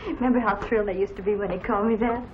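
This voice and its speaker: A woman's voice: mid-range voice, fairly even intonation, rapid-fire, natural voice. An actress playing an eager, idealistic young college woman in effusive old Hollywood style. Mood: excited, dreamy. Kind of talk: old Hollywood film acting. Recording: muffled, noisy old film soundtrack.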